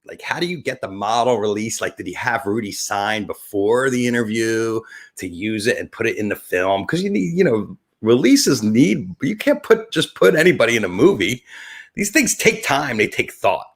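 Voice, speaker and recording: A man's voice: on the low side, big pitch swings, moderate pace. Wisecracking man with a New Jersey accent. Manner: wisecracking, tongue-in-cheek. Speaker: live webcast host. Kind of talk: improvised, rambling show intro.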